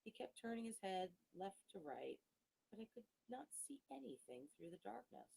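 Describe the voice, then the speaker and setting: A woman reading a gothic novel aloud as an audiobook, in a dramatic, measured storytelling manner with expressive pauses. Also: medium pitch, creaky, slight background noise, low-quality recording. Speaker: audiobook reader.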